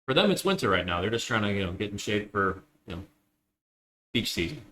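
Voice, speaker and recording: A young man doing live game commentary, quick and joking with self-deprecating asides. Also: on the low side, big pitch swings, pushed and bright, slight background noise. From Illinois, United States. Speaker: esports commentator.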